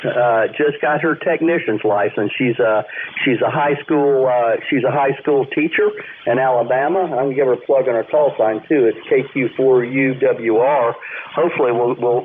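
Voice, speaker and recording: Male ham radio caller heard through a thin, phone-like band with light noise; polite, easygoing, rambling conversational delivery. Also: medium pitch. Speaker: amateur radio operator calling in. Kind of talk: casual on-air radio conversation. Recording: narrow-band noisy amateur radio link.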